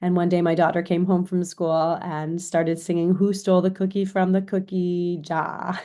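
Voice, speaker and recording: American woman giving a lecture, lowish voice. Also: mellow. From Chicago, United States. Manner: explanatory, often checks with "right?". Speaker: university lecturer in education. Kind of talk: informal recorded lecture.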